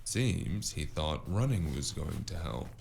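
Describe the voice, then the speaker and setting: A man playing a wry, gravelly-voiced investigator who narrates a noir fantasy audio drama in the first person. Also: extremely low pitch, very animated, slow pace, background noise, full character voice. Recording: music behind the voice.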